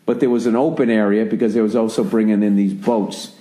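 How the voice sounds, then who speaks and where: American guy ranting on his podcast, swears a lot, mellow low tone, echoey room. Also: steady tone, fast talker, a little hiss or hum. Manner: rambling, profane, ranting storyteller. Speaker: podcast host. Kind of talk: casual podcast chat. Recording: roomy, echoey recording.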